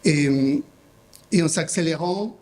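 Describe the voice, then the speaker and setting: A man's voice: a little high, slow pace, darkish tone, a little hiss or hum. A man from Mexico presenting a publishing house at a conference, speaking off the cuff with many fillers and restarts. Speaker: publisher's representative giving a talk.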